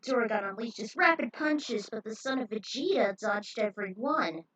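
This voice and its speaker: A woman's voice: a little high, expressive, normal speed, crowd noise. A woman narrating action fan fiction aloud as a dramatic story reading.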